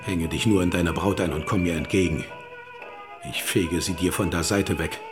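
A man's voice: low voice, fairly even intonation, normal speed, over music, close to an everyday voice. An actor playing a menacing, domineering father in a radio play, speaking with overbearing threat. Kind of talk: German radio play acting.

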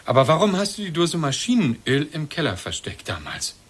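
An actor playing a stern, suspicious bereaved husband in a German radio drama, insistent and clipped, in standard German. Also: male voice, fairly high pitch, sing-song, brisk, a bit bright, breathy edge, full character voice.

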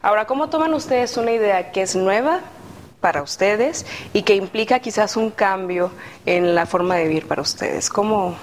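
A woman's voice: mid-range voice, moderate pace, a little hiss or hum. A woman hosting a friendly Bible study discussion, leading the questions for a small panel. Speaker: host.

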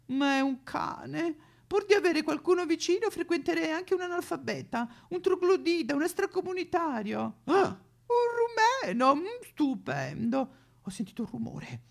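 A batty, snobbish rich housewife for a satirical monologue. The voice is very high and creaky, with affected swoons and whimpers as she rambles and loses her thread. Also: exaggerated voice. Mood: lonely, panicky.

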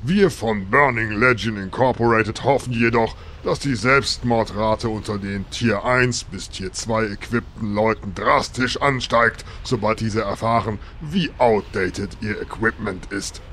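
A man's voice: lowish voice, measured pace, hardly any pauses, fairly bright tone. A man voicing a pompous demon lord who talks like a corporate spokesman, with faint background noise. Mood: smug corporate. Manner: corporate, self-important spokesman tone. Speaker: demon lord being interviewed. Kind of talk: parody audio drama.

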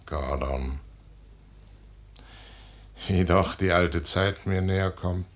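An actor playing a proud, weary, fatalistic aging general in a grand, measured 1950s radio drama style. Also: male voice, low voice. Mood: weary, musing. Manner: measured, grand, dismissive, declaiming. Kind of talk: 1950s German radio drama stage acting. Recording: noisy 1950s radio recording.

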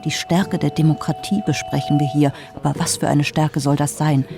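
Impassioned gravelly man. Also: on the high side, level tone, normal speed. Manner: impassioned, rhetorical questions.

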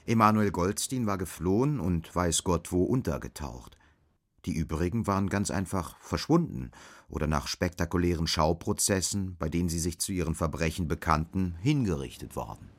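A low-pitched male narrator tells a dystopian radio story. His delivery is sober, measured and detached, with the shape of scripted literary prose. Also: animated. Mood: grave, detached.

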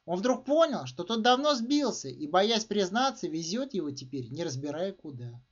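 A man with a high-pitched voice narrates a short story with emphatic, theatrical phrasing. His intonation swings widely from phrase to phrase. The recording sounds dull and muffled. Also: big pitch swings, normal speed. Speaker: author reading own short story. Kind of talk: expressive literary narration.

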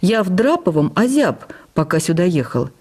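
Woman reading as ailing male writer, fondly teasing then touchy, soft mellow tone, bit husky, radio play. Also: sits high, very animated, moderate pace, heavily acted. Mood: caring, insistent. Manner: gently teasing, turning sullen and abrupt. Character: ailing, affectionate, testy male writer and doctor.

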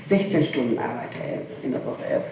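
A woman's voice: rumbling low, animated, brisk, gravelly, darkish tone. Woman testifying on a very echoey old tape. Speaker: suspect under questioning. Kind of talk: police interrogation testimony. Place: reverberant room, far from the microphone. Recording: echoey, distant, noisy archival tape recording.

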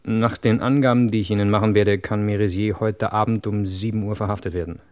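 An actor playing a wary criminal turned informant, measured, polite and a little hesitant, in an old German crime radio play. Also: male voice, on the low side, steady tone, a little mellow, lightly acted. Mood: cautious, bargaining.

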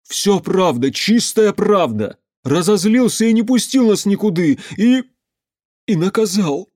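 A man's voice: high voice, lively intonation, unhurried, darkish tone, no room sound, studio quality, voice pushed up. A man voicing a frightened village man in an audiobook, babbling and swearing earnestly. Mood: fearful insistence. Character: frightened, pleading village man swearing fearfully to his master.

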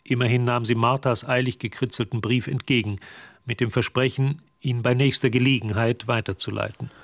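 A man's voice: mid-range voice, steady tone, a little fast, creaky, no echo. Composed male radio drama narrator. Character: composed literary storyteller.

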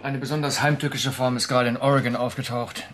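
An actor playing a conscientious, uneasy government official in a radio drama, speaking formally and hesitantly with a husky voice. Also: male voice, medium pitch, flat delivery, fast, a bit bright, slight background noise, lightly acted. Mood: evasive, curt. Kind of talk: German radio drama acting.

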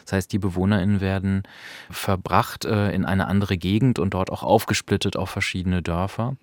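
A man's voice: low voice, steady tone, normal speed, dry recording, clean studio sound. A man co-hosting a podcast, reviewing thoughtfully and searching for precise wording in conversation. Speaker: podcast co-host.

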